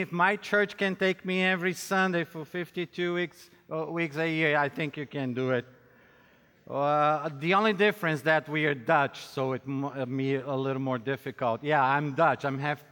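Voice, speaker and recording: Male preacher, middle-aged, Brazilian accent, native Portuguese speaker. Clear voice, unhurried delivery, playful and direct with the audience, reverberant hall. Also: on the high side, a bit bright. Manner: joking, self-deprecating, addresses listeners directly. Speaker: pastor and conference speaker. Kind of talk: conference sermon with audience interaction.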